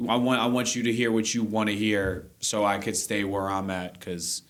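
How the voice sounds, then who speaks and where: A man's voice: fairly low pitch, little pitch movement, moderate pace. A man from Queens with a New York accent chatting casually about sports in a slangy, rambling way. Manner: slangy, rambling, quotes imagined speakers. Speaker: sports show panelist.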